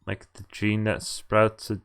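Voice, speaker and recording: A man's voice: fairly low pitch, monotone, unhurried, a bit bright, slight background noise. A man streaming a game, reading its dialogue aloud and adding informal asides of his own. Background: game music.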